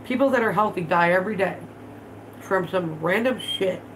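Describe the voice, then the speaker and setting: Stuffy-nosed woman in her 30s livestreaming from her living room, blunt and sweary, lowish voice, phone mic, faint hum behind. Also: normal speed, low-quality recording. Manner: blunt, chatty, swears freely while working with her hands. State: stuffed up from allergies. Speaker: vlog host. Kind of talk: casual livestream chat. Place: living room at home, indoors.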